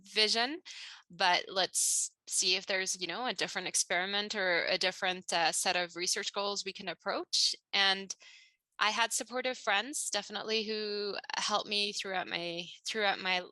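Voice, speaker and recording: A woman's voice: medium pitch, steady tone, normal speed, sharp tone, dry recording, rough recording. A woman in her thirties who grew up in Malaysia, a scientist answering interview questions thoughtfully, with many restarts and fillers.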